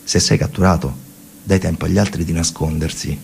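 A man's voice: sits low, fairly even intonation, a little fast, gravelly, a little mellow, a little hiss or hum. A man performing a theatrical narrative monologue, telling the story emphatically in short, colloquial, repeated phrases. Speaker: stage actor and narrator.

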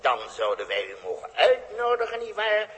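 An actor playing a sly, grandiose exiled warlord in an old radio drama, mock-polite and theatrical, hemming and repeating himself. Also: male voice, high voice, huge pitch swings, normal speed, fairly bright tone, full character voice. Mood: slyly courteous. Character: sly, grandiose exiled warlord, cunning galactic game player. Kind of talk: 1960s radio drama acting. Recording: old radio recording in reverberant hall.

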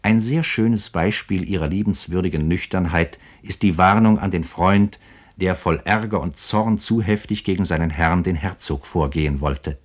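A man narrating a scripted literary radio programme in a measured, formal manner. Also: deep voice.

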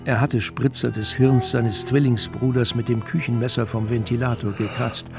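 A man's voice: medium pitch, little pitch movement, normal speed, creaky. A man reading dramatic scripted narration for a thriller audio drama. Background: music.

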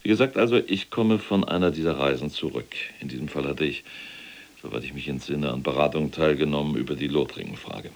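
A man's voice: a bass voice, a little fast. An actor playing a proud, pedantic captive SS general in an audio drama, formal and self-important as he recounts his deeds. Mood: stiff, pompous. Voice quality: gravelly, husky.

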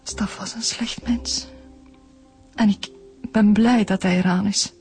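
A woman's voice: medium pitch, steady tone, slow, darkish tone, faint music behind. An actress playing an embittered, grieving middle-aged mother and long-abused wife in a radio drama. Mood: bitter, wounded.

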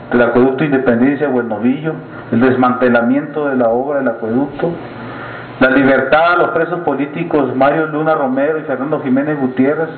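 A man giving an earnest, measured address to a meeting audience, with halting restarts. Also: medium pitch. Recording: echoey room over a tinny lo-fi line.

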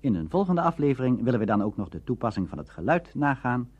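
A man's voice: mid-range voice, sing-song, fast talker, a little mellow, slightly rough. A man presenting a radio documentary, reading scripted narration in a formal register. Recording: dull lo-fi archive radio recording.

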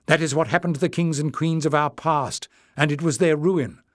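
A man's voice: fairly high pitch, no room sound, clean studio sound, voice pushed up, lightly acted. A man voicing an earnest, resolute young king in an audiobook, speaking firmly. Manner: firm, measured.

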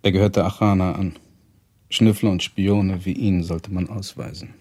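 Deep, husky, blunt professor. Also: male voice, fairly even intonation, darkish tone. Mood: firm, indignant. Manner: deliberate, blunt, dryly reproachful. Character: blunt, proud Swiss professor, a German émigré. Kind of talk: 1970s German radio play acting.

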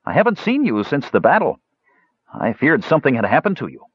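A man plays a thin, wisecracking elf in a fantasy audiobook. The voice sits high and swings widely, playful and emphatic with gentle sarcasm. The recording is a little dull. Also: wildly swooping pitch, a little fast, slightly rough, dry recording. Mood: earnest. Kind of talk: audiobook character voice.

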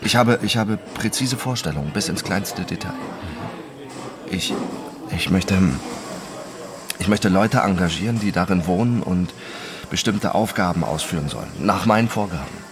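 An actor playing an introspective, obsessive man who narrates his own story in a radio drama, deliberate in his delivery. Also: low voice, crowd noise. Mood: halting, intent.

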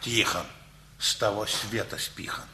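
An actor playing a bossy middle-aged uncle in a radio comedy, blunt, mocking and sarcastic. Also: male voice, lowish voice, a little slow, heavy background noise. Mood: brusque, scornful. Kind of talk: Russian radio comedy play acting.

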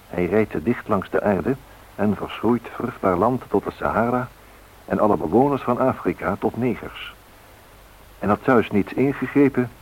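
A low, brooding male narrator played by an actor recites history and myth in a radio play. His delivery is reflective and scripted. A faint hiss from an old radio recording sits under the deep voice. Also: darkish tone, natural voice, poor audio.

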